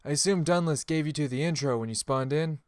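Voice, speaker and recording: A man's voice: on the high side, fast, clean tone, dry recording, clean studio sound, voice pushed up, close to an everyday voice. A man voicing a friendly, cocky veteran spearman in a fantasy game audiobook, with a casual, folksy, self-assured manner. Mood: amused, casual. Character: friendly, cocky male spearman, seasoned gamer.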